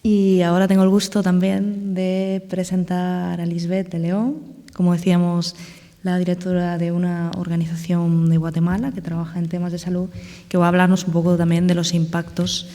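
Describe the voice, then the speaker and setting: Flat mellow moderator in a hall. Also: medium pitch, normal speed. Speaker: panel moderator. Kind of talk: introducing speakers at a conference.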